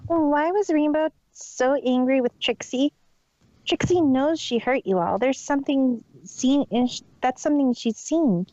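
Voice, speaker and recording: A woman's voice: sits high, a little slow, mellow, dry recording. A woman playing a boastful, theatrical unicorn magician who speaks of herself in the third person, in a fan story reading. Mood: puzzled. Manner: haughty, theatrical, hammy. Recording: dull lo-fi home recording.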